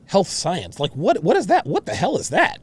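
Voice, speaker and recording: Male commentary host, brash and foul-mouthed, higher-pitched voice, very expressive, mocking delivery. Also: moderate pace, slightly rough. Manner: brash, mocking, profane, repetitive. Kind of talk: reaction commentary on a played clip.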